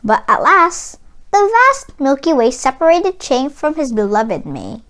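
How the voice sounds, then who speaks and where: A woman narrating a children's storybook as an expressive read-aloud. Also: high-pitched, unhurried. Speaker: storybook reader.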